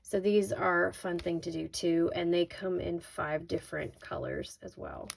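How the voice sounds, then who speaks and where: Raspy, low-voiced woman casually showing products. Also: steady tone, normal speed. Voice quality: slightly raspy. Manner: chatty, informal, thinking aloud. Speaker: craft video host. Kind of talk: casual unboxing product walkthrough. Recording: noisy, with crinkling handling sounds.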